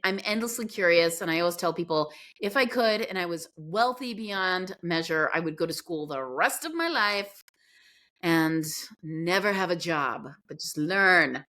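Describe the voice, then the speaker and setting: A woman speaks as a podcast guest in a relaxed, conversational way. Her voice sits fairly low for a woman. She tells her stories with expression. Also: measured pace. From grew up in Guyana and Pakistan. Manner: expressive storytelling, acts out quoted reactions. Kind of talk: casual conversational interview.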